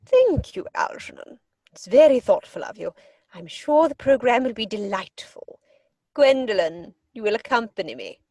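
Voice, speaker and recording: A woman's voice: on the high side, very animated, normal speed, warm tone, no echo, lo-fi sound. A woman playing an imperious older aristocratic English lady in a theatrical comedy reading, pompous and emphatic. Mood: gracious, commanding.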